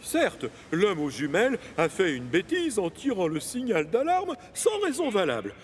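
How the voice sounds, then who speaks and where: A man's voice: high voice, huge pitch swings, normal speed, slight background noise. A man voicing a stern, pompous but fair railway controller in a children's cartoon dub, emphatic and authoritative. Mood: firm, lecturing. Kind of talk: animated children's series dub.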